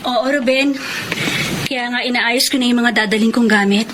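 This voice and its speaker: A woman's voice: fairly high pitch, steady tone, normal speed, lightly acted. An actress playing a polite, emotional young woman working as a housemaid, in a radio drama. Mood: earnest. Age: young adult. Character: young woman, hurt but hopeful housemaid. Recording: noisy radio drama recording.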